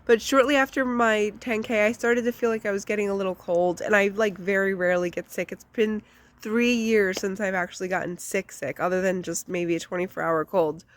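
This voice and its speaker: A woman's voice: medium pitch, steady tone, fairly quick. A woman giving a candid, casual life update to her channel, chatty with lots of fillers, her voice congested from a recent flu. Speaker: vlogger.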